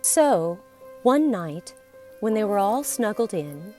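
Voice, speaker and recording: A woman narrating a scripted story in a deliberate, theatrical way, with dramatic pauses and emphasis. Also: medium pitch, sing-song, mellow, faint music behind. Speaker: podcast storyteller.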